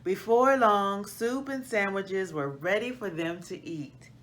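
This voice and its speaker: Slow-paced woman reading to children. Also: medium pitch, fairly bright tone. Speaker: host of a children's book read-aloud. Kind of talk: expressive children's storybook reading. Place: small plain indoor room.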